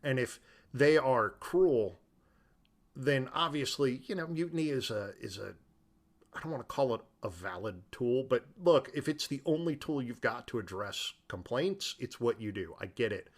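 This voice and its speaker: A man co-hosting a podcast, casually discussing film in an animated, joking way with rambling asides. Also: medium pitch, moderate pace. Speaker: podcast co-host.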